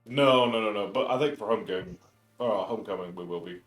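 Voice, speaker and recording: A young man chatting casually on a game stream, hoarse and congested from screaming. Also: fairly low pitch, fast, fairly bright tone, faint music behind. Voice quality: croaky, congested. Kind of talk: casual chat while gaming.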